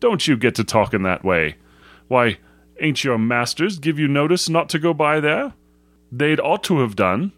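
A man's voice: fairly high pitch, sing-song, moderate pace, a bit bright, no room sound, voice pushed up. A man voicing an old country shepherd in a storybook, with a rural English dialect and an emphatic, plain-spoken manner. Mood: startled. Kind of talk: storybook character voice.

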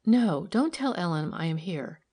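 A woman's voice: fairly low pitch, lively intonation, a little slow, dark tone, breathy edge. A woman voicing a shrewd, composed, friendly lady investigator in an audiobook, with a light, careless manner. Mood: amused, confiding.

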